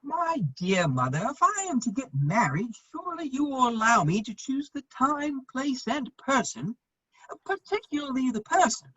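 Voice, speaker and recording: A woman playing a witty, idle gentleman bachelor in his thirties, arch and drily polite, in a period comedy reading. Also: high voice, big pitch swings, moderate pace, husky, full character voice. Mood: drily protesting. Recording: dull, echoey, lo-fi room sound.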